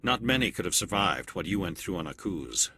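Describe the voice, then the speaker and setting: An actor playing a male turian alien agent in a video game cutscene, calm and clipped with quiet authority. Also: low voice, a little fast, a little hiss or hum, heavily acted. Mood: measured. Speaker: elite agent observing a mission.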